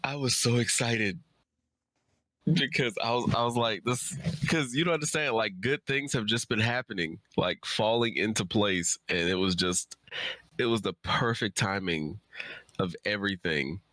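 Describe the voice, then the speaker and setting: A man's voice: fairly low pitch, normal speed, pushed and bright, dry recording. A man chatting casually as a guest on a livestream, speaking in an emphatic, effusive way. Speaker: guest writer.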